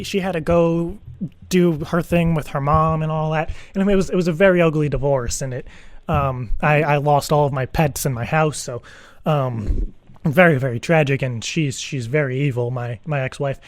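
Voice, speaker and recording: An earnest, worried dad, put on by a male prank caller, speaks in a slightly high voice with a very dry sound. He rambles haltingly and circles back over his own words as he pleads his case in an improvised phone prank. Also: no echo, lightly acted. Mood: earnest, rambling. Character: earnest, anxious divorced dad seeking a teddy-bear sitter.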